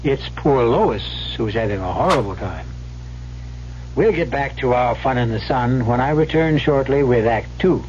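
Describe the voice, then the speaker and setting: A man hosting and narrating a radio mystery in a measured, wry scripted manner. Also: medium pitch, lively intonation, darkish tone, slight background noise. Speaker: host and narrator. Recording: muffled old radio broadcast with music. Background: music.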